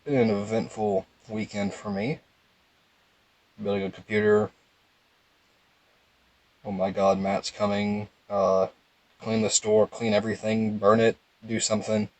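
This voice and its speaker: A young man with a calm, low voice, giving casual, rambling game commentary in a laid-back monotone. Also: slow, pauses often, no echo, poor audio. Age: young adult. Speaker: gaming video host.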